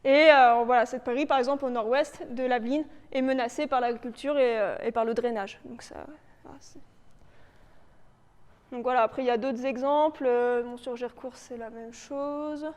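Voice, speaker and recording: A woman with a high, smooth voice gives an informal presentation. She explains things hesitantly, as if putting the sentences together as she goes. The sound carries the long reverberation of a meeting hall. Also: fairly even intonation, normal speed, a little mellow. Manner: hesitant, loosely improvised explaining. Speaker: presenter for a local authority biodiversity project. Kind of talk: informal spoken presentation with slides. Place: reverberant indoor meeting room, live audience presentation.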